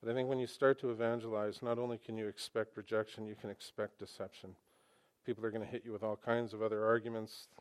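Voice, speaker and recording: Animated male preacher speaking on a mic. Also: on the low side, quick pace, clean tone. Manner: animated, conversational, with emphatic asides. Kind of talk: sermon. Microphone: microphone.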